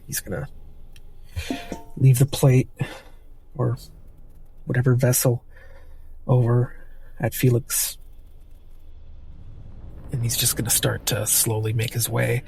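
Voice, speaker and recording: A man's voice: mid-range voice, completely flat delivery, slow pace, gravelly, long pauses, darkish tone, faint music behind, rough recording. A man playing a tabletop roleplaying game, improvising narration of his character in a thoughtful, hesitant way.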